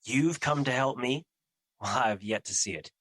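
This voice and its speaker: A man's voice: mid-range voice, normal speed, a bit bright, no echo, lightly acted. A man playing a gloomy, self-doubting embodiment of fear in an audio drama, speaking with formal, solemn, theatrical phrasing.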